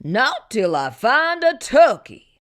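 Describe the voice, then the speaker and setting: A woman putting on voices for the animal characters of a read-aloud picture book, slow and exaggerated. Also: high-pitched, very animated, fairly bright tone, voice pushed up, heavily acted. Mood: cheerful. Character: mixed animal characters, moose, rabbit, goats, sheep.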